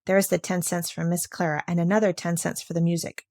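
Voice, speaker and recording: A woman's voice: fairly low pitch, flat delivery, quick pace, a little mellow, natural voice. A woman voicing a discreet, obliging manservant in a storybook, matter-of-fact as he gives word. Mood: conspiratorial.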